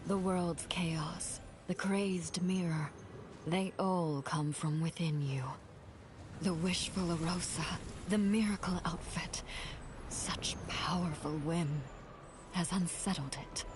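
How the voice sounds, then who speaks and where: A woman playing a solemn, mysterious divine guide in a fantasy game, speaking in a measured, grave, hushed way. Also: lowish voice, fairly even intonation, background noise. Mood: solemn, cryptic. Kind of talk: scripted fantasy game cutscene acting.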